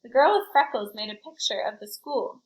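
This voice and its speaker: A woman reading a storybook aloud in an expressive, child-directed way, with chatty asides to her young listeners. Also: on the high side, moderate pace, echoey room. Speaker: school librarian reading aloud.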